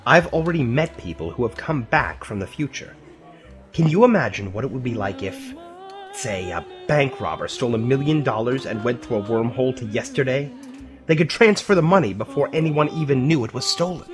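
An actor playing an earnest, cautioning scientist professor in an audio drama, explaining things carefully. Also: male voice, mid-range voice, lively intonation, brisk, soft background music, close to an everyday voice. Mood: earnest, concerned.

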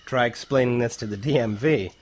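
An actor playing an enthusiastic, patient professor in an audio drama, explaining deliberately with pauses. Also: male voice, mid-range voice, lightly acted. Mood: explaining, amused. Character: enthusiastic male professor who discovered time-travel wormholes, patient explainer.

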